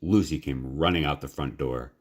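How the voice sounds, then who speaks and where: A man reading a children's story aloud in a measured, dramatic, expressive narration. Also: very deep voice, slightly rough.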